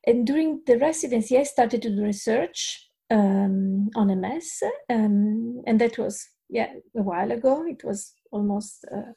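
A woman's voice: fairly high pitch, measured pace, creaky, few pauses, dark tone, husky. A middle-aged woman with an Italian accent, a neurologist guest on a podcast interview, speaking hesitantly with many fillers and restarts. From Italy.

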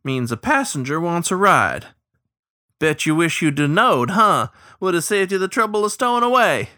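A man's voice: sits high, very animated, moderate pace, pushed and bright, no room sound, clean studio sound, voice pushed up, exaggerated voice. A man voicing a smug, teasing old male killdeer riverboat hand with a folksy, boastful drawl.

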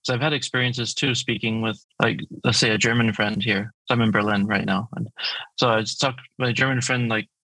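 A man speaks as an interview guest with a husky, bright edge to his voice. He explains eagerly, building his points from examples. The sound is close and dry. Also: lowish voice, steady tone, a little fast, no room sound, low-quality recording. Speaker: guest, language project founder. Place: remote call from his home city.

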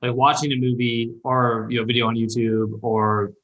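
A man's voice: medium pitch, little pitch movement, normal speed, echoey room, dull audio. A man in his thirties giving casual advice in a chat, rambling and thinking aloud as he goes. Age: 30s. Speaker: call-in show host and copywriting mentor.

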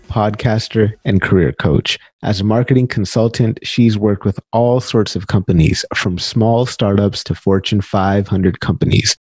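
A man's voice: on the low side, mellow, breathy edge, dry recording. A man hosting a podcast, giving an upbeat scripted introduction and then interviewing a guest. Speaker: podcast host.

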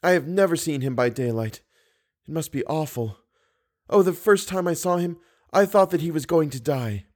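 A man's voice: a little high, expressive, normal speed, pitched above the performer's own voice, natural voice. A man putting on a frightened young woman singer. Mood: trembling. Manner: fearful, pleading, breathy hush.

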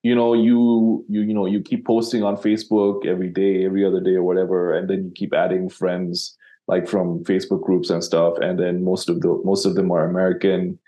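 A man's voice: sits low, level tone, a little fast, warm tone. A man from Sri Lanka, a copywriter guest in an interview, talking casually and rambling with many fillers and restarts.

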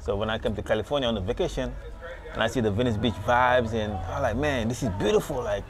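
A man talks at a rapid clip from his booth at an outdoor beach market. He rambles, restarts and repeats words, peppering his story with "you know," at a middle pitch. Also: a crowd in the background. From Senegal. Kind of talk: casual street interview.

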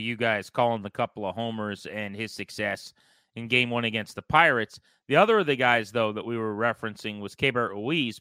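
An American man hosts a baseball talk show, laying out topics and questions for a guest. His voice is bright and forceful, cleanly resonant, and recorded very dry. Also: mid-range voice, smooth, dry recording. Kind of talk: sports talk interviewing.

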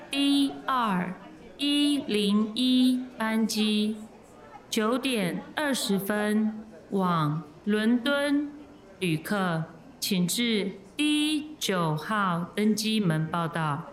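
A formal airport announcer making boarding calls. She speaks extremely slowly at a medium pitch, echoing as if over a public-address system. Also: expressive. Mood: formal, measured. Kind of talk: boarding announcement in radio drama.

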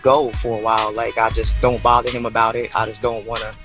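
Hesitant deep-voiced woman caller. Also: little pitch movement, music in the background. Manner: hesitant, quiet, halting with ums. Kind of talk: phone-in call.

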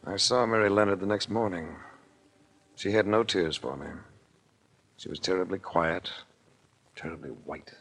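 An actor playing a cool, wry tough-guy investigator in a 1940s radio drama, with a gravelly voice and a faintly noisy vintage recording. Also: deep voice, moderate pace, pauses often. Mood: sober. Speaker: lead actor and narrator.

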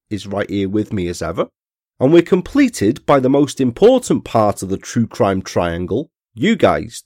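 A man hosting a true crime podcast, reading an ad and chatting to listeners, his voice a little croaky from a cold. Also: mid-range voice, a little mellow, no room sound, studio quality. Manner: chatty, friendly asides to listeners. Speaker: podcast host. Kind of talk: scripted podcast narration and ad read.

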